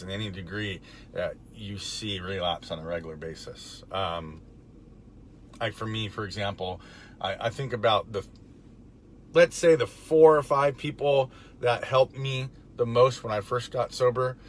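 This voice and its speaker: Hoarse gravelly man talking in a car. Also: on the low side, measured pace, slight background noise. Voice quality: raspy, gravelly. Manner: relaxed, rambling with fillers and chuckles. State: hoarse from being under the weather. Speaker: recovery vlogger. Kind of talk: casual faith talk to camera. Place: parked car.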